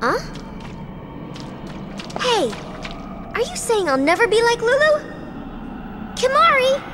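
A woman's voice: very high voice, sing-song, slow, loud music behind the voice, exaggerated voice. An actress playing a perky, impulsive teenage girl adventurer in a video game, casual, bubbly and a little pouty.

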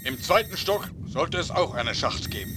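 A man voicing a calm commander who gives measured briefing instructions, in a German game dub. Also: fairly high pitch. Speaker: mission commander. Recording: game audio with background noise.

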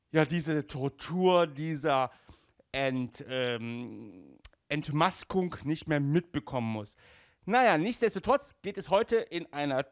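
A man in his forties hosts a collectors' show in a fairly high voice. He takes his time, leaning on words with an emphatic, enthusiastic delivery. The sound is close and dry. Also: dry recording. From Germany. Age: 40s. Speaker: collector magazine show host. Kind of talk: casual enthusiastic presenting to camera. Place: small indoor room with toy displays. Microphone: handheld microphone, later headset.

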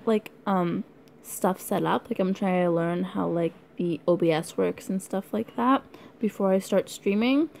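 A young woman from Canada chatting casually through an unboxing video, rambling and giggly, her voice hoarse from being sick. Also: medium pitch, dark tone, slight background noise. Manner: rambling, giggly, lots of likes and ums. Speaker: vlogger.

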